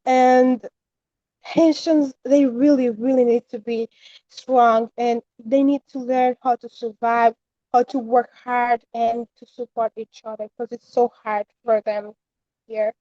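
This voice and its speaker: A teenage girl from the Dominican Republic speaks earnestly and steadily about her own experience. Her voice sits a little high but sounds warm and mellow. The sound is dull and lo-fi, like an online call. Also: little pitch movement, slow pace, no echo. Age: teens. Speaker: student. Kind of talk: class discussion answer. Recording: muffled lo-fi online call audio.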